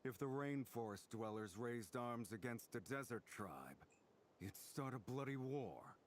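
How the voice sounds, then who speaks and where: A man voicing a wary, shrewd desert mercenary leader in a video game, measured and weighty as he bargains. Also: mid-range voice, lively intonation, a little hiss or hum, close to an everyday voice. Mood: wary, warning. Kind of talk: dramatic video game voice acting.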